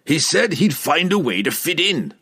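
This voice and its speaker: A man's voice: fairly high pitch, very animated, normal speed, bright, forceful tone, breathy edge, voice pushed up, exaggerated voice. A man voicing a flustered, stubborn old dwarf king with a blustering manner and rustic dialect in an audiobook. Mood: blustering, defensive.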